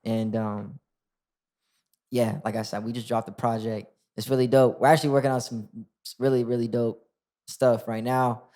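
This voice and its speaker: A man's voice: mid-range voice, normal speed. A man in his twenties, a rapper guest, talking casually in an interview and often restarting with "like". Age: 20s. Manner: relaxed, lots of "like" and restarts.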